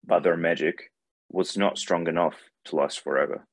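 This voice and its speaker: A man acts out a benevolent god of light for an animated fantasy. The voice is very deep and solemn, with grave, measured phrasing. The sound is dry and close. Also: no echo. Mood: grave, narrating. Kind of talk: animated fantasy script table read.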